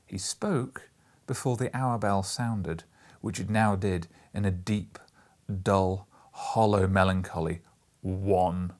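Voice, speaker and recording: A man reading an audiobook as dramatic literary narration, theatrical and sometimes hushed, with emphatic stresses. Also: sits low, fairly even intonation, slow pace. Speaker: audiobook reader.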